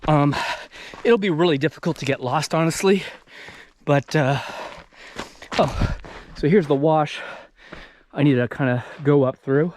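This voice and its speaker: An American man in his thirties talking casually to camera on a hike, halting and thinking aloud as he moves. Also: a little high, darkish tone. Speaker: vlogger. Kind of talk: casual talk to camera while hiking. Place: outdoors in open desert backcountry. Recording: outdoor noise on handheld camera.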